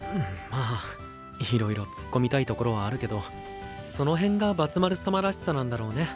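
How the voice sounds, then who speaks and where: A man's voice: medium pitch, fairly quick, music in the background, close to an everyday voice. A man playing a friendly, curious, easygoing young knight with casual, frank phrasing in an anime-style audio drama. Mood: friendly, reflective.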